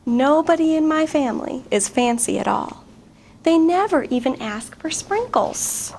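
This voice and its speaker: A high-pitched middle-aged woman reads a picture book aloud to children, expressive and often pausing to ask them questions. She takes her time over the words, and a faint hiss of noise sits under her voice. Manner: expressive, questions to young listeners. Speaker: guest reader, teacher.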